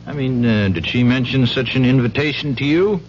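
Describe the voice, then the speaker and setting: An actor playing a folksy police investigator in a radio drama, questioning people with prodding tags and murmurs. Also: male voice, medium pitch, fairly quick, natural voice. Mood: probing, matter-of-fact. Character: folksy, probing male police investigator in a university town. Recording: dull, noisy old radio broadcast with hum.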